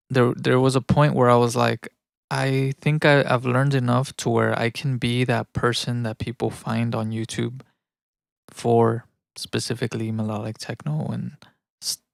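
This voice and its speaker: A man's voice: mid-range voice, little pitch movement, moderate pace, vocal fry, no echo, studio quality. A man from Mexico living in the United States chats casually and thinks aloud in a rambling, self-reflective way while producing music on a livestream. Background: electronic music.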